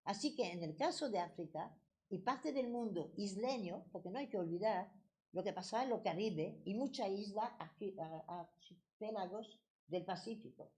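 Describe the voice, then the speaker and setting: A woman with a French accent giving a passionate political talk at a debate. She often restarts words and hesitates. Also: medium pitch. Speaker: debate speaker.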